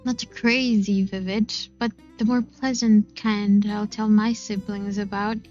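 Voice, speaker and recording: A woman reading a visual novel aloud in an expressive, unhurried first-person narration. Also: mid-range voice, highs cut off. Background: game music.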